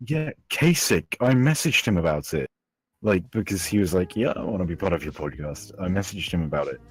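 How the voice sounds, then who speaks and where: A sixteen-year-old male with a deep, husky voice, chatting casually as a podcast host. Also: expressive, brisk, no room sound. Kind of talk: casual group chat.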